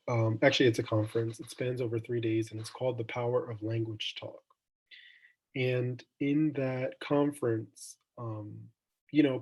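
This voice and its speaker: A young man hosts a student discussion over a video call, his voice a little husky at an ordinary pitch. He talks thoughtfully and meanders, pausing on ums and restarting his sentences. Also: darkish tone, poor audio. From Jamaica. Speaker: student event host. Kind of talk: casual online discussion.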